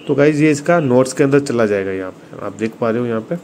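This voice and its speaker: A man's voice: medium pitch, animated, quick pace, a little mellow. A man with an Indian accent giving a casual, informal hands-on phone review, often addressing his viewers. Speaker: tech reviewer, video host. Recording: clearly noisy room recording.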